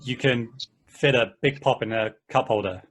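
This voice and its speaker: A man's voice: mid-range voice, moderate pace, a bit bright, close to an everyday voice, poor audio. A man reading the part of a laid-back, stubborn friend in a casual, slangy play table read. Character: laid-back, stubborn buddy proud of his old truck.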